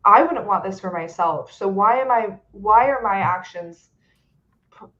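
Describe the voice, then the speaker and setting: A woman's voice: mid-range voice, a little slow, fairly bright tone. A young woman on a video-call webcast, speaking earnestly with frequent "like" fillers. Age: young adult. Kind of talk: webcast discussion. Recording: dull lo-fi audio.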